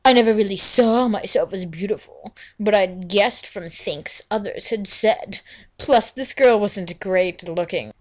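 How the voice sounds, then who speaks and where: A woman's voice: mid-range voice, measured pace. A woman giving a theatrical dramatic reading of a story in an exaggerated, mock-serious manner. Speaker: fanfiction reader.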